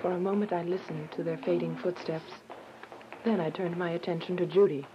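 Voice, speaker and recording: A woman's voice: fairly low pitch, fairly even intonation, fairly quick, background noise, lightly acted. A woman playing an earnest, devout young prisoner, narrating her story in a radio drama. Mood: somber, reflective. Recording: dull recording with music behind. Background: music.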